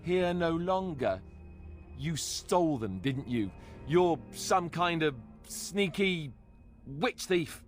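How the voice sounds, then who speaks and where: A man's voice: a little high, expressive, slow, faint music behind. A man voicing a wry young warrior with dry, sarcastic humor in a video game.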